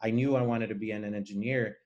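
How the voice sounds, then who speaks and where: A man from Nicaragua who grew up speaking Spanish talks in a fairly low voice. He is a guest engineer in an interview, explaining things earnestly and conversationally. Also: fairly even intonation, fast, darkish tone, highs cut off.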